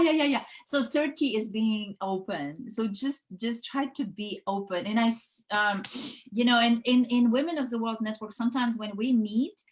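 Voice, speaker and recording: A woman's voice: fairly high pitch, a bit bright. Russian-born woman chatting on a call. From Soviet Union. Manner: many fillers and restarts, chatty. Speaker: guest, network founder. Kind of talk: casual conversation.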